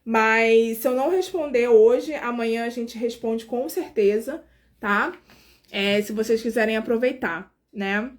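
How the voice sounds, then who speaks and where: Carioca nurse lady in her 40s, high voice, chatty, talks straight to the viewers, kinda tired. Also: measured pace. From Brazil. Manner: chatty, warmly addresses viewers directly, frequent fillers. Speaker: nurse hosting a live stream. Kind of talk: casual live-stream chat with viewers.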